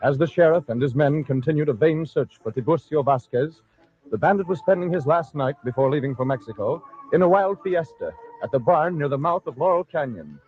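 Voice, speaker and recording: A man's voice: a little high, expressive, brisk. A man narrating a western story in dramatic, scripted 1940s radio style. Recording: tinny old radio recording. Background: music.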